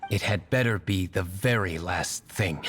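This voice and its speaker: An actor playing an aloof, stern young tavern owner in a video game, curt, dry and formal. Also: male voice, deep voice, a little slow, a bit bright, faint music behind. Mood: curt, guarded.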